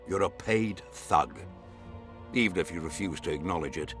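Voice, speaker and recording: A man's voice: sits low, moderate pace, music in the background, natural voice. A man playing a haughty, scornful nobleman in a fantasy video game, with imperious, formal diction. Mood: cold, contemptuous. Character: haughty scornful nobleman, baron vying for a throne. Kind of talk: dramatic fantasy game acting.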